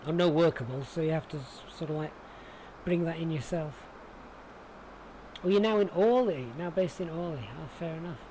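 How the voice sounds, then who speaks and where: A man's voice: a little high, normal speed, a little mellow, rough recording. Yorkshire man chatting on a live stream. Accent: Yorkshire English. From Sheffield, England. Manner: chatty, informal, thinking aloud. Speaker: flight simulator livestreamer. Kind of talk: casual live-stream commentary, chatting with viewers. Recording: clear background noise.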